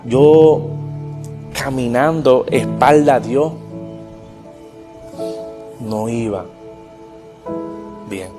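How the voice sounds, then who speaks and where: A man's voice: medium pitch, over music. Puerto Rican man giving testimony. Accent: Puerto Rican Spanish. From Puerto Rico. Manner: earnest, quotes scripture, slows for emphasis. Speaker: testimony speaker. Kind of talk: personal faith testimony.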